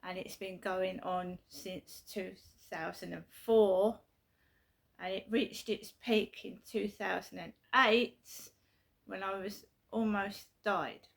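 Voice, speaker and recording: Gravelly middle-aged woman. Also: medium pitch, steady tone, slow pace. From South London, England. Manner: repetitive, emphatic, earnest storytelling. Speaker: vlogger. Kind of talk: casual personal vlog. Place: her flat at night.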